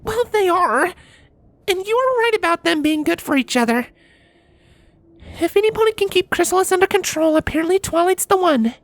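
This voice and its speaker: Woman putting on a very high, sulky pony princess. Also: animated, moderate pace. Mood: grudging. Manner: hesitant, grudging, defensive. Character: sulky, remorseful young pony princess, female. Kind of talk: fan fiction storybook reading.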